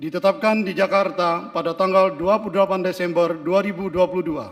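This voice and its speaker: In a long hall reverb, a man with a high-pitched voice reads an official decree. His delivery is solemn, deliberate and strictly formal, in the role of a military secretary at a ceremony. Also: steady tone.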